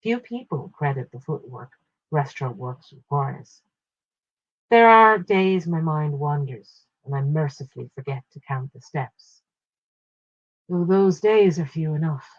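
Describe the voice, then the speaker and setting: A woman reading a literary first-person short story aloud in a measured, expressive way with pauses. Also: sits low, highs cut off.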